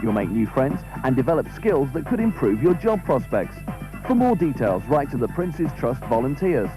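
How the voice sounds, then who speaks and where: A man's voice: medium pitch, sing-song, moderate pace, poor audio. Male appeal voice-over, medium register, scripted, prominent music bed. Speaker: announcement voice-over. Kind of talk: scripted public service appeal.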